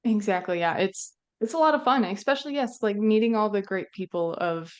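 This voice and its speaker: A woman's voice: medium pitch, brisk, clean tone, dull audio. A young woman from central Minnesota, a broadcast engineer answering interview questions in a friendly way with frequent ums. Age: young adult. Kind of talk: conversational interview.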